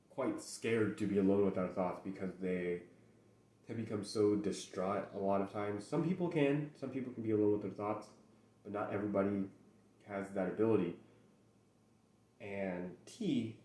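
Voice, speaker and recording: A young man in casual solo chat as host, rambling and halting with many restarts, mentions speaking English natively. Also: lowish voice, mellow. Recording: echoey room.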